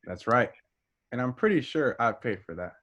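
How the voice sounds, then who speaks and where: A man's voice: medium pitch, animated, normal speed, fairly bright tone. A man playing a dry, defiant military colonel in a table read. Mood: dry defiance. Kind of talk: amateur table read of a film script. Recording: dull, lo-fi audio.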